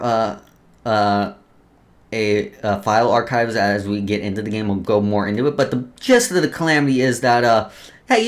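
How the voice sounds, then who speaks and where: A man doing casual let's play commentary, reading game lore aloud with stumbles, informal swearing and joking asides. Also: medium pitch. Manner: stumbles over read text, joking asides. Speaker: let's player.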